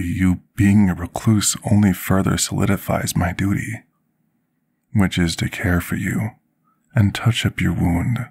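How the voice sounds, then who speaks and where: ASMR fantasy roleplay: humble male potion master played by a man, very deep, gravelly voice, measured formal delivery, apologetic and dutiful. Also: full character voice. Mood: devoted, earnest. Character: devoted, apologetic male potion master tending a dragon patient.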